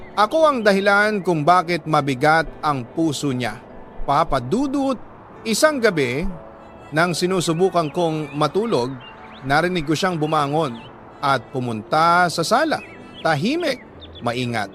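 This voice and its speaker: A man reading a dramatic scripted story in a solemn, measured way, pausing for emphasis. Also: a little high, sing-song, fairly bright tone, faint music behind. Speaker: narrator reading a listener's letter.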